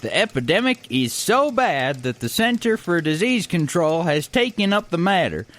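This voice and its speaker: An actor playing a bumbling small-time stand-in TV news anchor in a radio comedy, flustered and folksy. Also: male voice, sits high, very animated, moderate pace, a bit bright, no echo. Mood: earnest newsreading. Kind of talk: mock newscast comedy acting.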